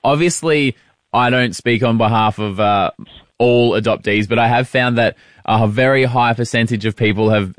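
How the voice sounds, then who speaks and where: A man with a fairly low voice recorded dry and close. He asks his questions gently and reflectively, thinking aloud as he goes. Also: no echo. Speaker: host and adoptee. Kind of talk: casual interview on a call.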